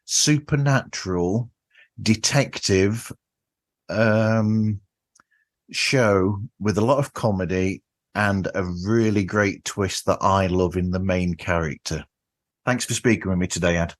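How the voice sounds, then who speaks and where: Man, podcast host, deep-ish voice, casual interviewing, effusive, halting delivery.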